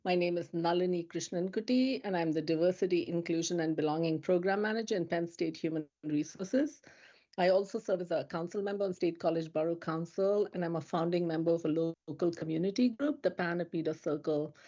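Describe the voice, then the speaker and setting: A woman's voice: fairly low pitch, fairly quick, mellow, dull audio. A woman speaking as a panelist, earnest and reflective. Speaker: diversity program manager, panelist. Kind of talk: panel discussion.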